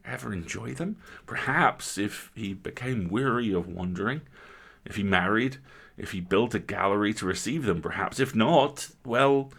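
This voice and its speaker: A man reading a literary story aloud as a dramatic narrator, with theatrical, emphatic phrasing and deliberate pauses. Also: medium pitch, creaky, a bit bright. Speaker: story reader, host.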